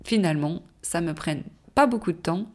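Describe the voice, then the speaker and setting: A woman hosts her own podcast and talks on her own in a loose, rambling way. She stops often to correct herself, and her voice is on the low side. She has a cold. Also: expressive, normal speed, darkish tone. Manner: rambling, many fillers and self-corrections. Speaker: podcast host. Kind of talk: casual solo podcast talk.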